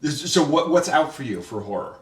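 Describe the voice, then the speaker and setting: A man's voice: on the high side, animated, fairly quick, distant mic. Male host, chatty questioner, roomy room, off mic. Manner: chatty, asks questions, many restarts. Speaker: writer-director and host. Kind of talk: casual roundtable chat.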